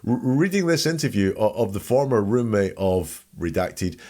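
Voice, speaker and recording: Male podcast host, lowish voice, analytical commentary, wry and informal, quoting and dissecting. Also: big pitch swings, moderate pace, a little mellow. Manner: informal, wry, reads out quotes and dissects them.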